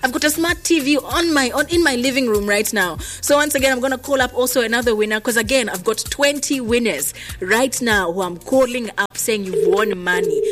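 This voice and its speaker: A woman radio host with a Zambian accent speaks in a fairly high voice over music. She presents live in a chatty way, slipping between announcing and casual conversation. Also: fairly quick, few pauses, a bit bright. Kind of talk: live radio promotion and prize phone calls. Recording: music behind the voice.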